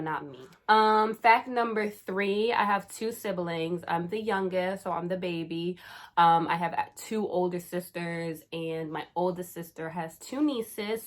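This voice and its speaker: A woman in her twenties from the Caribbean and New Jersey, chatting casually and playfully to her viewers with lots of slang. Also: fairly low pitch. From Caribbean, raised in New Jersey. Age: 20s. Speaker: YouTuber. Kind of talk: casual vlog chat.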